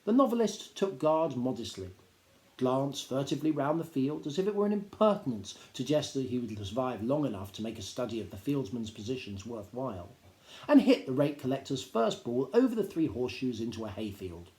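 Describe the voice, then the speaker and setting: A man narrates a literary story with measured, dry humour. His intonation is lively and varied. The recording is roomy, with audible echo around the voice. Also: mid-range voice. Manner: measured, drily humorous storytelling. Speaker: teacher reading aloud. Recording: roomy, echoing indoor sound.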